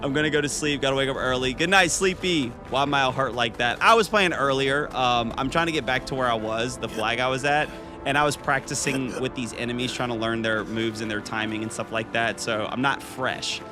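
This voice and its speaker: Guy in his 30s live streaming a game, chatting with chat, mid-pitch voice, game music going behind him. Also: brisk. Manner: chatty, upbeat, reads chat aloud and talks to viewers. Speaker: gaming streamer. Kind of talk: casual live stream chat while gaming.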